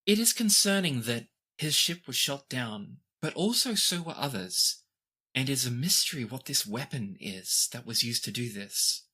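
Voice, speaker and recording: A man voicing a gracious, formal princess in a tabletop game, speaking with measured, courtly diction. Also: medium pitch, expressive, sharp tone, slightly rough, full character voice. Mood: grave, concerned. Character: formal, gracious young royal princess, concerned for her brother.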